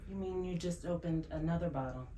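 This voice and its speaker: An actress playing a teasing, blunt, sarcastic sister in a naturalistic audio drama. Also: female voice, on the low side, a little fast, warm tone, roomy sound, slight background noise, natural voice, low-quality recording.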